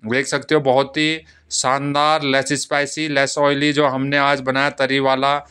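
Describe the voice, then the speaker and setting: Bright, clear-voiced man hosting a cooking vlog. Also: medium pitch, little pitch movement, measured pace. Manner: eager salesy repetition, keeps urging viewers to watch. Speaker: cooking channel host.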